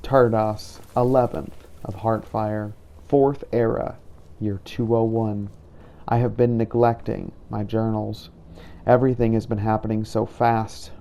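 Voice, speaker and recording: पुरुष द्वारा निभाया गया गंभीर, भारी आवाज़ वाला वैम्पायर शिकारी. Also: male voice, fairly low pitch, gravelly, warm tone. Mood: pensive, anxious. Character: brooding male vampire hunter narrating his journal. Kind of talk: first-person journal narration, fantasy roleplay.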